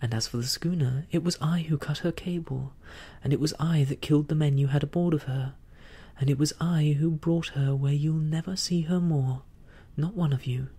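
A man's voice: a little high, fairly even intonation, normal speed, warm tone, breathy edge, voice pushed up. A man voicing Jim Hawkins, a brave young boy, declaiming boldly and defiantly. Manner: bold, declaiming. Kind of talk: audiobook reading.

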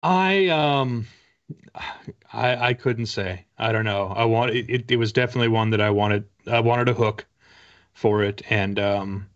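Low-voiced man in a casual interview. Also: a little slow. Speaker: guest, author. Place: indoor home room with bookshelves. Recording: dull, lo-fi video call audio.

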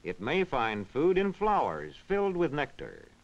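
A man reading scripted educational narration in a measured, instructional, clearly enunciated way. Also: a little high, huge pitch swings. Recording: dull, band-limited vintage film soundtrack.

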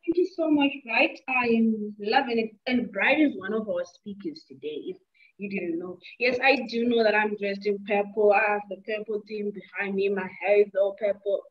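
A woman's voice: mid-range voice, moderate pace. A woman in her twenties hosting an online meeting from a small room through earbuds, chatty and playful. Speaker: meeting host. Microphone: earbuds on a video call. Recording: dull lo-fi call audio.

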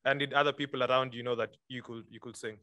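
A man's voice: medium pitch, fairly even intonation, fast talker, bright, forceful tone, dull audio. A man moderating a webinar interview, asking questions with frequent hesitations and fillers. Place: room at a lectern.

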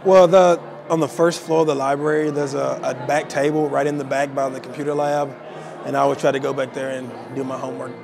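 A man's voice: mid-range voice, flat delivery, fairly quick, vocal fry. A young man from Arkansas giving an interview testimonial as a law graduate. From Arkansas, USA. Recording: music behind the voice.

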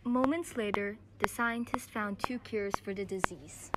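A woman's voice: mid-range voice, a little slow, lightly acted. A teenage girl narrating an amateur audio drama in a scripted storytelling style. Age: young teenager. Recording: noisy amateur student recording.

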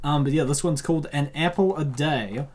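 A man's voice: a little high, moderate pace, a little mellow. A man hosting a casual comic dub, rambling and joking in slangy commentary.

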